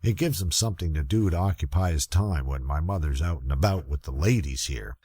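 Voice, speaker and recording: A man playing a shaken grown son in a radio murder mystery, halting and uneasy. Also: a bass voice, lively intonation, warm tone, husky, dry recording, full character voice. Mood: flustered. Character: shaken, hesitant grown son of elderly parents. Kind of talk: old-time radio detective acting.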